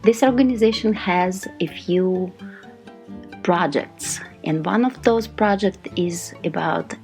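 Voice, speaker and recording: A woman's voice: on the low side, slow pace, creaky, darkish tone, soft background music. A Russian-speaking woman guesting on a podcast and talking cheerfully, with laughs. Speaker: guest interviewer.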